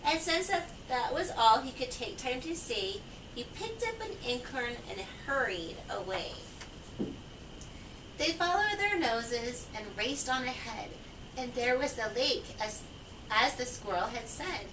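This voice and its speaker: A woman reads rhyming children's verse aloud at an unhurried, singsong pace. Her voice is a little high, and it comes from a short distance in a room with strong echo. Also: animated. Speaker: storybook reader. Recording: echoey, distant, noisy home recording.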